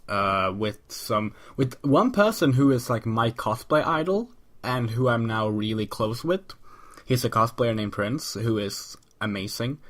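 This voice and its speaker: A man's voice: mid-range voice, a bit bright. A man hosting his own podcast while sick, talking in a rambling, chatty way with many ums and restarts. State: sick, voice a bit off. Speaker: podcast host. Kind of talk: casual solo podcast monologue.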